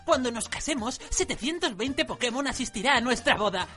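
A woman's voice: fairly high pitch, lively intonation, a little fast, sharp tone, soft background music. A woman voicing an obsessive, childish grown-up monster-trainer hero, eager and boastful, in a radio comedy. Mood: eager, dreamy. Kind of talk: radio comedy parody acting.

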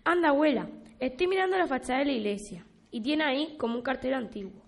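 Woman acting high-pitched impatient Spanish girl. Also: fast, gravelly. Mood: curious. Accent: Spanish, from Spain. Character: impatient, curious young granddaughter. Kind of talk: amateur radio play acting.

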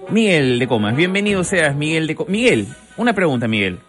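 A man's voice: a little high, sing-song, a little fast, a bit bright, soft background music. A man hosting a comic radio segment, playfully teasing callers and reading jokes aloud. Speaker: radio host.

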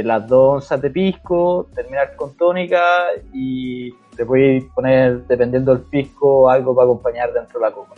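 A man chatting casually as a podcast panelist. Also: on the high side. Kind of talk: casual chat. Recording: phone-like thin audio, music behind. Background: background music.

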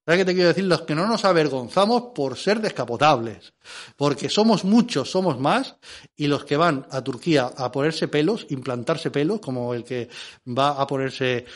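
Theatrical Spanish man joking, higher-pitched voice. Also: expressive, moderate pace. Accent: Spanish from Spain. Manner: theatrical, jokey, repeating himself for emphasis. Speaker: comic regular of a radio show segment. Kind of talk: joking radio chat.

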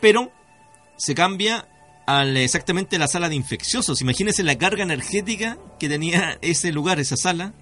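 A Chilean man hosting a conversational radio show on mysteries, speaking haltingly as he searches for words. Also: high-pitched, expressive, fairly bright tone. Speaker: radio host. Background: music.

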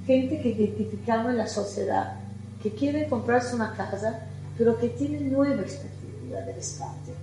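A middle-aged woman with an Italian accent gives a conference talk, chatty and lively, often putting questions to the audience. Her voice sits a little high. It rings in an echoey hall with faint music underneath. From Italy. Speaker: architect giving a conference talk. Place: lecture hall.

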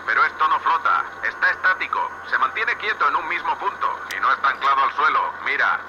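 A man's voice: on the high side, animated, a little fast. A man with a Castilian Spanish accent playing a blunt, foul-mouthed space salvage boss in a sci-fi audio drama, heard over a radio link. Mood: awed, excited. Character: gruff, sweary space salvage boss, awed by alien tech. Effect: radio comms filter. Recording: muffled, noisy space-suit radio link.

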